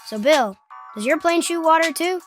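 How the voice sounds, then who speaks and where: A woman's voice: extremely high pitch, expressive, normal speed, soft background music. A woman playing a skeptical, grumbling boy hero in an amateur cartoon comic dub.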